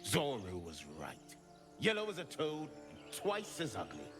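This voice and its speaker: A man's voice: fairly high pitch, wildly swooping pitch, a little slow, music in the background. Scornful, echoing male hunter. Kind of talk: video game dialogue acting.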